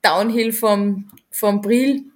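A woman answers as a podcast guest. Her voice sits at an ordinary mid pitch, and she talks plainly and briefly. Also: steady tone, extremely slow. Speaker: podcast guest, trail runner. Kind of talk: casual interview chat.

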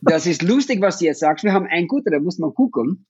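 A Dutch-accented man in his fifties with a fairly high, husky voice, talking casually and stopping often to restart his sentences. The sound is dry and a little dull, like a lo-fi recording. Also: lively intonation, fast, darkish tone, dry recording. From Netherlands. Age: 50s. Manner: hesitant, many fillers and restarts. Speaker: guest, parent of athletes. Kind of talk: casual conversation.

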